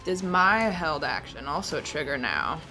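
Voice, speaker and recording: A woman's voice: sits low, big pitch swings, measured pace. A woman talking casually as a player in a tabletop game, describing her moves. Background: clear music.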